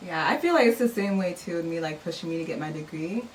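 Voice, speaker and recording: A young woman guest chatting casually, soft-spoken, with a lot of "like" and agreeing hums. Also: lowish voice, lively intonation, fast talker. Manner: soft-spoken, lots of "like" and "mm-hmm". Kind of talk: casual chat. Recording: distant mic with faint noise.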